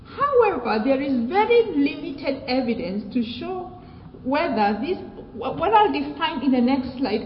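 Kenyan-born woman with British accent, echoey hall. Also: high voice. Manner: measured, with frequent fillers and restarts. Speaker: research presenter. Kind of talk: academic conference talk. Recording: echoey hall, dull sound, faint noise.

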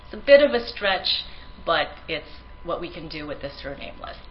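A woman's voice: deep voice, sing-song, normal speed, muffled recording, a little hiss or hum. A woman researcher giving a conference presentation in an earnest manner, with frequent ums and restarts; her first language is Tagalog.

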